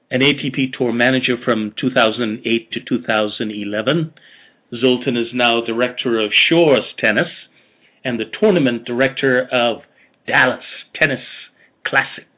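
Male interview host, measured and reflective, murmurs encouragement; recorded over a tinny phone-like line. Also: mid-range voice. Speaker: podcast host. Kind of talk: interview, scripted intro then chat.

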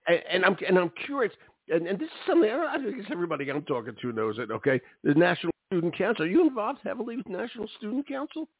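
A man hosting an interview show, friendly and informal, talking quickly and jumping in with comments. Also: sits high, wildly swooping pitch, slightly rough. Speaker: show host. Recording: tinny call audio, dry.

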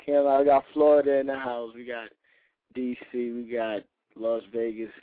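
A man hosting a call-in radio show, talking loose and hyped with slang and shout-outs. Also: medium pitch, level tone, moderate pace. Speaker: radio host. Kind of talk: casual call-in radio chat. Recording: tinny phone-like line.

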